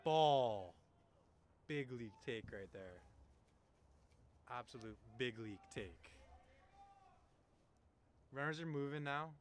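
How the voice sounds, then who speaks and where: A man's voice: mid-range voice, animated, slow pace, pauses often. A young man from Canada doing chatty, slangy play-by-play commentary of a baseball game in an informal, jokey way. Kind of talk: casual, chatty live sports commentary. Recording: noisy live ballgame broadcast.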